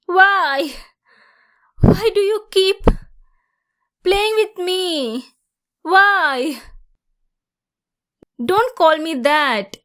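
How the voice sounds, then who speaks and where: A woman playing an unhinged, obsessive jilted girl. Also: high voice. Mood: frantic shouting. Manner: unhinged, swinging between hushed menace and screaming. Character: obsessive heartbroken young woman holding her ex captive. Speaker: voice actor. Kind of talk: audio roleplay acting.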